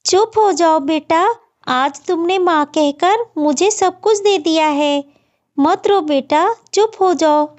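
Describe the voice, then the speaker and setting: A woman voicing a gentle, devoted mother bird in a cartoon, speaking with tender, earnest pleading. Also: very high voice, slow, voice pushed up. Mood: tearful, tender. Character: gentle, self-sacrificing mother bird, devoted and long-suffering. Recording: dull, muffled lo-fi audio.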